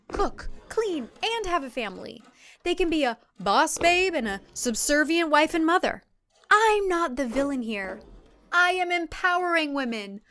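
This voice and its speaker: A woman's voice: high voice, a bit bright, voice pushed up, heavily acted. A woman voicing a condescending, menacing male cult leader and husband in a thriller audio drama. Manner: condescending, mocking, self-righteous.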